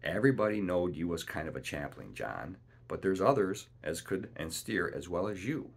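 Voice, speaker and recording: A man's voice: sits low, animated, moderate pace, creaky, breathy edge, heavily acted. A man voicing a growling, impatient, mutinous pirate coxswain in a storybook, grumbling in rustic seafaring speech. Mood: defiant.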